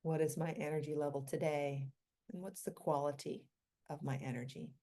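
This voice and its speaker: Woman, low pitch, soft mellow timbre, guided meditation, gentle delivery, unhurried short phrases, long pauses. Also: steady tone.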